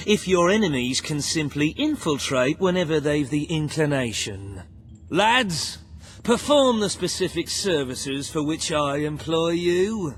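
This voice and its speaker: Pompous gangland schemer for a game: highish, swooping delivery, verbose and over-enunciated. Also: male voice, a little hiss or hum. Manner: florid, over-enunciated, long-winded formal diction. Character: pompous, verbose male crime boss, scheming and indignant. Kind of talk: theatrical video game voice acting.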